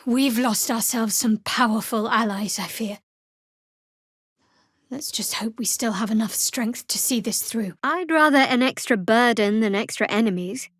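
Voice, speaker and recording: An actress playing a guarded, sardonic young female cleric of a dark goddess in a video game, speaking in a dry, measured, wry way. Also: on the high side, dry recording. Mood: guarded, serious. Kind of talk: video game character dialogue.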